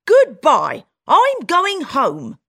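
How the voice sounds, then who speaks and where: A woman voicing a homely female country mouse who talks slowly and insistently in a storybook reading. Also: a little high, huge pitch swings, pitched above the performer's own voice, exaggerated voice. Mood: upset. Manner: slow, insistent, loud complaint.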